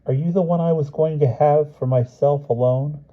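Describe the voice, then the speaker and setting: A man voicing a small excitable boy who wails and insists, with a husky voice. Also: on the high side, moderate pace, voice pushed up, natural voice. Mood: bewildered. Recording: dull lo-fi recording.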